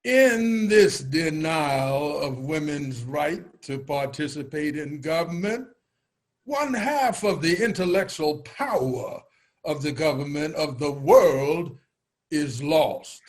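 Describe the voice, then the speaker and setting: Grave male abolitionist orator, actor, declamatory, slow, slightly raised pitch, stage reading. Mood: grave, impassioned. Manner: weighty, declamatory. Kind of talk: reader's theater oratory.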